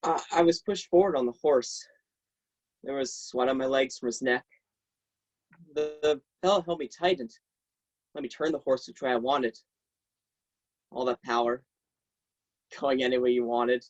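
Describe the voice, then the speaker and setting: Stage role: troubled teen boy, young male actor, mid-pitched, intimate, hesitant dramatic monologue delivered on a video call. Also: normal speed, long pauses, muffled recording, natural voice. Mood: absorbed, intense. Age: young adult. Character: troubled teenage boy recalling a horse ride.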